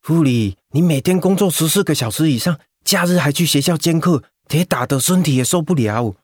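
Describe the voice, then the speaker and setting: An actor with a Taiwanese accent playing a caring, joking coworker with a folksy manner, in a radio drama. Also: male voice, on the high side, lively intonation, a little fast, breathy edge, dry recording, clean studio sound. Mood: concerned. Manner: folksy, switches into Taiwanese sayings.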